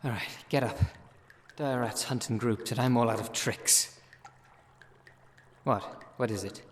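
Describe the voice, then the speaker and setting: A man's voice: medium pitch, measured pace, close to an everyday voice. A man acting as a wry, world-weary city witch in an ASMR audio roleplay, talking in a conversational, sardonic way. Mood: alarmed, urgent. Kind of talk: ASMR audio roleplay, one-sided dialogue. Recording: long reverb, noisy background.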